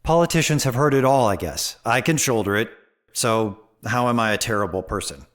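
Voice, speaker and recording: Man playing a mid-pitched, pointed senator. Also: expressive, brisk, fairly bright tone, natural voice. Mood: persistent. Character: male senator, pointed and self-assured politician. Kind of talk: audio drama acting.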